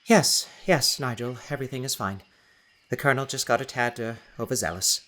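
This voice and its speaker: A prudent professor on a jungle expedition voiced by a male narrator in an audiobook. The voice is lifted well above a low male register and is sharp, precise and formal, with an insistent manner. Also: a little fast, close to an everyday voice. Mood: nervous politeness. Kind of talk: audiobook character acting.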